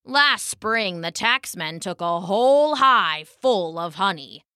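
A woman doing a slow buzzing bumblebee. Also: mid-range voice, animated, bright, forceful tone, clean tone, no room sound, studio quality. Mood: friendly. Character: bustling bumblebee troubled by factory smoke.

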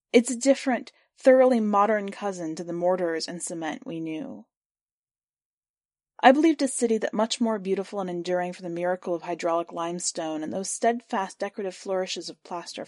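A woman reading a first-person literary short story aloud for a podcast, in a measured, clear storytelling voice. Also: mid-range voice, a little mellow, no room sound, studio quality. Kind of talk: scripted narration of literary fiction.

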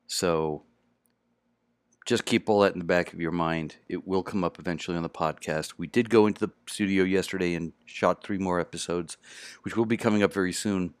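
Low-voiced, tired American podcast host. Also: male voice, fairly even intonation, a little fast, no room sound. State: exhausted. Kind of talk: casual podcast introduction.

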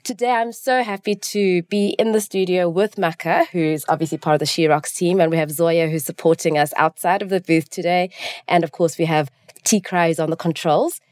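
A woman's voice: fairly low pitch, fairly even intonation, moderate pace, a little mellow, no room sound. A woman hosting a casual interview in a studio, speaking in an effusive way. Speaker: podcast host. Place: recording studio.

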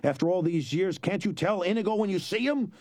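A man voicing a shrill, hot-tempered hunchbacked schemer in an audiobook. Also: expressive, brisk, darkish tone, no room sound, clean studio sound. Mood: scornful.

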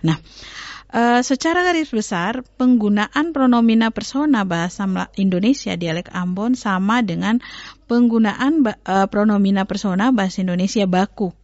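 A woman's voice: mid-range voice, normal speed, darkish tone, no room sound, lo-fi sound. Woman explaining language on studio radio. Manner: explanatory, many hesitations and self-corrections. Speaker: language researcher guest. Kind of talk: educational radio talk.

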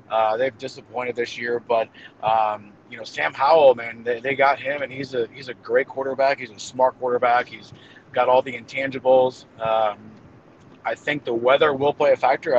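Male football analyst, mid-range voice, halting and conversational predictions, muffled audio with faint noise. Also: medium pitch. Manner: hesitant, thinking aloud as he goes. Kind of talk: casual game-prediction commentary.

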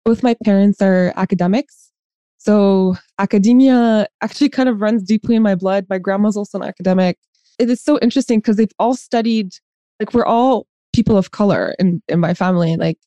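A woman of about thirty speaks in a mellow, relaxed voice at an average pitch. She is articulate and reflective, explaining herself casually as a guest. The sound is dry and close. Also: brisk, dry recording. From born in Lebanon, later raised in Dubai. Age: around 30. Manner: articulate, reflective, frequent "like" asides. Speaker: podcast guest, former college professor. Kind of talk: casual conversational interview.

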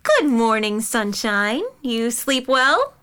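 A high-voiced, bright-sounding middle-aged woman in an audio drama. She is a caring, anxious aunt and mother, earnest and often pleading. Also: big pitch swings, takes it slowly, smooth, full character voice. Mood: caring, coaxing.

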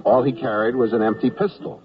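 A man's voice: mid-range voice, fairly even intonation, a little fast, crowd noise, natural voice. An actor playing a mild, hapless army draftee who tells his own story in an old radio comedy. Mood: wry storytelling. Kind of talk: first-person radio narration and comedy acting. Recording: noisy, muffled, distant vintage radio recording.

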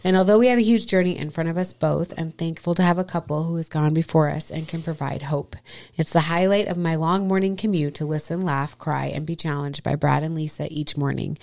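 A middle-aged woman co-hosting a podcast in casual chat, hoarse and raspy from a cold, chatty and quick to laugh. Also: sits low, a little mellow. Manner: laughs often, chatty and playful. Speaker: podcast co-host.

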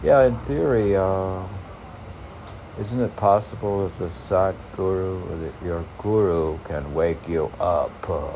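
A man reading poetry and commenting on it informally in a halting, musing, self-questioning manner. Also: sits low, dark tone, slight background noise. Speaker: poet reading and commenting on own poems.

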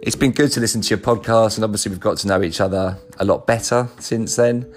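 A man with a low voice leaves a recorded message for a podcast host. His delivery is wry and teasing and full of little filler pauses. Faint music plays quietly behind him. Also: steady tone. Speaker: former podcast guest.

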